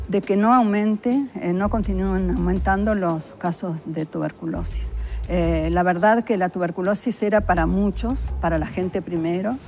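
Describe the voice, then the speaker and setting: Low-pitched Uruguayan woman, noisy room. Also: darkish tone. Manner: conversational, illustrates points with imagined quotes. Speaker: public health official and microbiologist, interview guest. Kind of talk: spontaneous explanatory interview talk.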